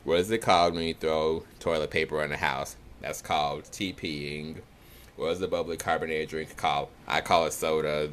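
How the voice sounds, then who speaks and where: A young man from the Chicago area with a Chicago accent, talking in a nasal, monotone way for a casual accent challenge video. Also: extremely low pitch, a little hiss or hum. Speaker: vlogger.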